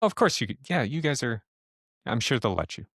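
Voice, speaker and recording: Tabletop game role: helpful, halting young female librarian, played by a man, varied intonation. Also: mid-range voice, sing-song, a bit bright, dry recording, clean studio sound. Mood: nervous, helpful. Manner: halting, unsure, eager to help. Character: hesitant, helpful young woman working at a university library.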